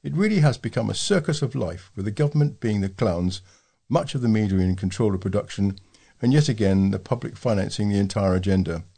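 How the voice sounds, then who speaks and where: A man's voice: lowish voice, a little fast, gravelly, warm tone, husky. A man reading readers' letters aloud as a volunteer newsreader, working from a written script. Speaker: volunteer newsreader for a talking newspaper.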